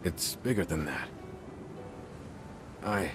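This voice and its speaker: An actor playing a weary, solemn middle-aged man turned winged clone in a video game, speaking in a measured, formal way. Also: low voice, animated, over music. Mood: solemn, wondering. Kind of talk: English video game voice acting.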